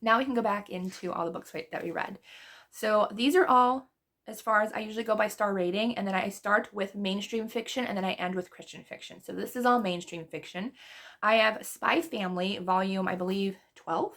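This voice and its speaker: A woman's voice: medium pitch, brisk, a bit bright. Woman losing her voice, casual book chat, rambly, laughs at herself. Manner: chatty, rambling, self-deprecating laughs. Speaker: book vlogger. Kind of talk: casual reading wrap-up chat.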